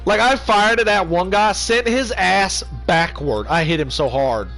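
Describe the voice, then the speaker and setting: A man's voice: high voice, measured pace, sharp tone, soft background music, low-quality recording. A man chatting casually on a game livestream, eagerly telling stories and teasing them with questions. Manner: eager storyteller, keeps asking "you wanna know". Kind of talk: casual chat.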